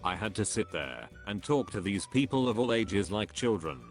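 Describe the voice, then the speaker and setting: Male synthetic narrator for reading online comments: lowish voice, evenly phrased, faint music bed. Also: sing-song, moderate pace. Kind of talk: scripted read-aloud narration.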